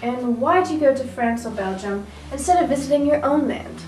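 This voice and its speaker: A woman's voice: sits high, lively intonation, brisk, a little mellow. A young woman acting in an amateur school drama, delivering teasing, scolding lines. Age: young adult. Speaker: student actor. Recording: echoey room from far off, music behind.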